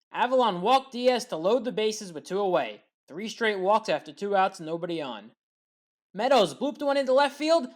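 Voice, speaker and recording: A woman giving scripted play-by-play recap narration as a sports highlights commentator.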